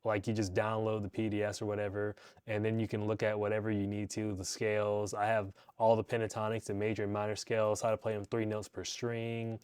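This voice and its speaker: A man's voice: lowish voice, monotone, brisk, hardly any pauses, a little mellow, clean tone. Young guy chilling in his music room, clip mic, rambly slangy gear talk. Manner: laid-back, rambling, slangy. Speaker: guitar teacher and channel host. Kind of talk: casual gear rundown. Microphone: wireless clip-on mic into phone.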